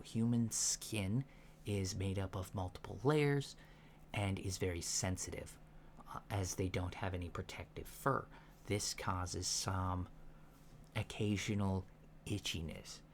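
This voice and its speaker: A soft-spoken man in an ASMR roleplay, playing a calm alien instructor with a halting, deliberate, matter-of-fact delivery, in a lo-fi recording. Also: sits low. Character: calm alien instructor briefing disguised recruits on humans. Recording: lo-fi recording with faint background noise.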